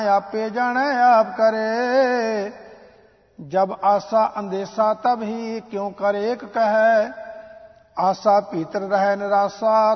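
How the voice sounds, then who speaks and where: High-voiced man chanting slow hymns. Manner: slow, drawn-out sung recitation. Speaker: hymn singer. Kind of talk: devotional scripture chanting. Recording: dull lo-fi recording with long reverb.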